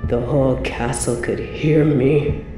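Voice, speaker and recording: An evil medieval queen, with a very low voice and a slow, savouring delivery. She is possessive and seductively menacing, speaking in formal, theatrical phrases for an audio drama. Her voice echoes over background music. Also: fairly even intonation, dark tone. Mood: lustful, obsessive. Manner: theatrical, formal, savouring, dropping into breathy whispers. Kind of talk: scripted dramatic audio roleplay monologue.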